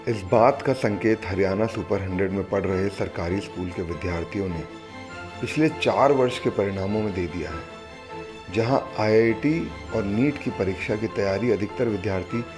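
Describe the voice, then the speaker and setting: Solemn low-voiced male narrator. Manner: solemn, measured delivery. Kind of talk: scripted documentary narration with recited verse. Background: background music.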